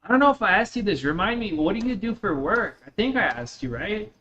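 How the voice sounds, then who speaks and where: A man's voice: high voice, very animated, fairly quick, sharp tone. A man with a slight Russian accent, chatting casually and in slang as he streams a game to viewers. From Russia, moved to the United States at eleven. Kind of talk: casual live-stream chat while gaming. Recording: dull lo-fi mic with faint noise.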